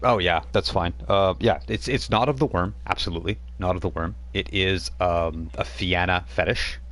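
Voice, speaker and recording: Very deep-voiced male game master. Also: moderate pace, fairly bright tone. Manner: explanatory, with frequent fillers and restarts. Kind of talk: narrating a tabletop game.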